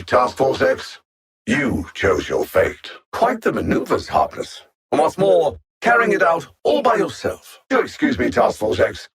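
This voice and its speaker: An actor playing a condescending, coldly calculating alien supervillain in a video game, speaking with haughty, deliberate precision and theatrical mockery. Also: male voice, fairly high pitch, a bit bright, slightly rough, exaggerated voice. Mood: mocking. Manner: haughty, mocking, deliberate and precise, with grand dramatic swoops.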